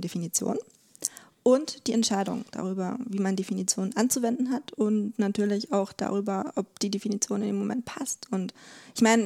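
A woman speaks as an expert guest in a conversational interview. Her voice is mellow and relaxed at a medium pitch, and she explains step by step, pausing to search for the right word. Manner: hesitant, searching for words, explanatory.

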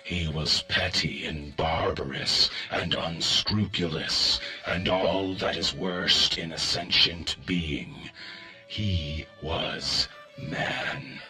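A man voicing a cold, weary alien conqueror from deep space, speaking slowly and gravely. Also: steady tone, pushed and bright, faint music behind, exaggerated voice, poor audio. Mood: cold, solemn. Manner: slow, grave and solemn. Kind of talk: storybook reading.

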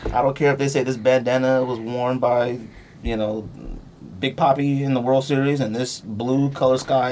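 A man's voice: mid-range voice, a little hiss or hum. A man hosting a podcast, arguing casually and emphatically in slangy, repetitive phrases. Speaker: podcast host. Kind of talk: animated sneaker debate, casual chat.

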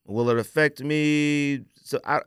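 A man's voice: fairly high pitch, steady tone, takes it slowly, smooth, dry recording, studio quality. An American man hosting a casual podcast, giving opinions in an emphatic, slangy way and repeating phrases for emphasis. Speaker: podcast host.

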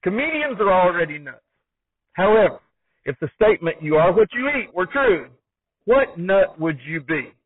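A man's voice: high voice, very animated, moderate pace, breathy edge. A man hosting a podcast, asking interview questions and talking about his show in a conversational style. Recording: thin phone-like band-limited sound, dry.

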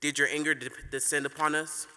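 A man's voice: fairly high pitch, little pitch movement, a little fast, pushed and bright, clear, resonant tone. Impassioned man performing spoken word. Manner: impassioned, mournful declamation. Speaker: slam poet. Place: live venue stage. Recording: reverberant hall.

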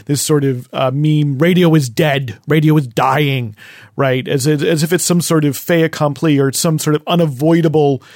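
A man hosting an interview, framing long, thoughtful questions with pauses and fillers. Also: fairly high pitch, fairly even intonation, a little mellow, dry recording, studio quality. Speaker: host.

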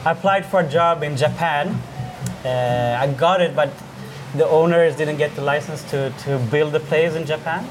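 A man's voice: on the high side, normal speed, over music. A man in his thirties from Sweden, a bartender chatting easily as a guest in a bar. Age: 30s. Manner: easygoing, short answers, many uh fillers. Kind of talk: casual interview chat. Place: busy bar. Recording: noisy bar background.

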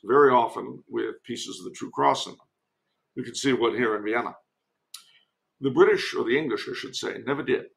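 A man with a gravelly, creaky voice talks as a podcast guest. He offers historical commentary in a conversational way, wandering into digressions and dry asides at a medium pitch. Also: fairly bright tone, poor audio.

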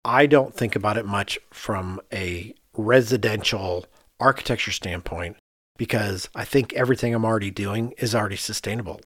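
A man's voice: fairly low pitch, moderate pace, a bit bright, no room sound, clean studio sound. A man with an American accent co-hosting a casual discussion, explaining things and thinking aloud as he goes. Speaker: podcast co-host and architect. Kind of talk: casual conversational discussion of architecture.

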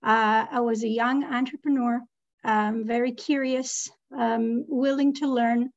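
A woman's voice: fairly high pitch, steady tone, measured pace, highs cut off. A woman in her thirties, originally from Israel, speaking as a guest in an interview, hesitant with many fillers and restarts. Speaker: guest, real estate lending executive.

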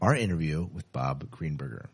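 A man's voice: rumbling low, expressive, normal speed, over loud music. A man hosting a podcast in a casual, chatty style. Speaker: podcast host.

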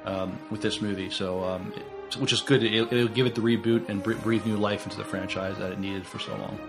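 A man co-hosting a podcast, talking casually about films in a halting, stammering way. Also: fairly low pitch, steady tone, over music. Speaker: podcast co-host. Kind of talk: casual film review chat.

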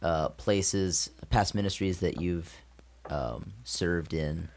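A man with an American accent, hosting a casual interview and asking his questions in a halting, hesitant way. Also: low voice, a little mellow. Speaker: interviewer, host.

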